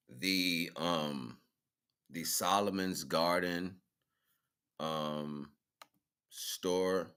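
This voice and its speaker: A man with an extremely low voice hosts an online stream. He talks off the cuff in a loose, colloquial way, speaking straight to his listeners like an informal radio host. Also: sharp tone. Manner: informal, colloquial, addresses listeners as brothers and sisters. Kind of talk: casual rambling livestream talk.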